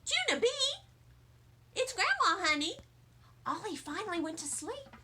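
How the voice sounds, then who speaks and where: A gentle storybook grandmother, played by a woman, soothing and sympathetic. Her voice is high and hushed, as if minding a sleeping baby. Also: very animated, measured pace, exaggerated voice. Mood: hushed, tender.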